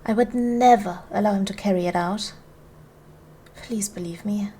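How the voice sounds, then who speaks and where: A woman playing a gracious, commanding duchess in an ASMR roleplay, speaking in a formal, measured, soothing way to a servant she protects. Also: medium pitch, mellow, slight background noise, lightly acted. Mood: gentle, reassuring. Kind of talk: ASMR roleplay, soft formal period speech.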